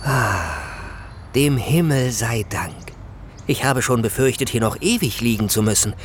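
A woman playing a lively, theatrical talking wooden puppet in a children's audio drama, with exclamations and old-fashioned flowery phrasing. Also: a bass voice, a little slow, background noise. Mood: relieved, chatty.

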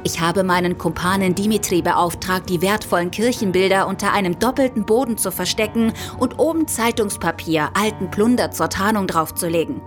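A woman's voice: mid-range voice, a little fast, hardly any pauses, faint music behind, close to an everyday voice. An actress playing a cunning, nervous female art thief who keeps up a polite front in an audio drama. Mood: scheming. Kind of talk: German audio drama acting with inner monologue.

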